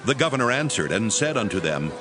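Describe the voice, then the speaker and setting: A man narrating scripture in a steady, formal reading style. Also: on the low side, fast talker. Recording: narration over music.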